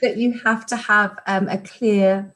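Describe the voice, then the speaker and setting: A woman's voice: mid-range voice, steady tone, measured pace, a bit bright, a little hiss or hum. A woman on a video call panel, speaking casually and laughing easily.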